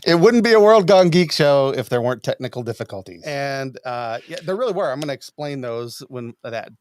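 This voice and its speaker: A man's voice: mid-range voice, lively intonation, brisk, no echo, studio quality. A middle-aged man hosting a live podcast, chatting in an informal, enthusiastic way. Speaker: podcast host. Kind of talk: casual live show chat. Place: small room at home, on a video call.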